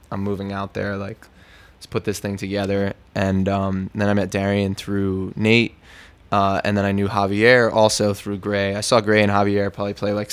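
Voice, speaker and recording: Casting: American male musician, casual interviewee, laid-back slangy talk, lowish pitch with heavy vocal fry. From New York, United States.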